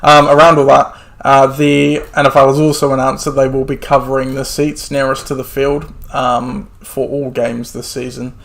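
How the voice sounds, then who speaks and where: A man hosts a relaxed sports podcast, talking in a chatty, meandering way with plenty of ums. His voice sits at an ordinary middle pitch and sounds slightly worn, as he is losing it. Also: moderate pace, creaky, hardly any pauses. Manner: chatty, rambling with many fillers. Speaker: podcast host. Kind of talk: casual sports podcast chat.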